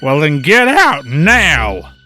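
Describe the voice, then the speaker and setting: A woman playing a small, brave and cheeky frog in a children's story, taunting with deliberate delivery. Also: sits low, big pitch swings, pushed and bright, faint music behind, heavily acted. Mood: bold, threatening.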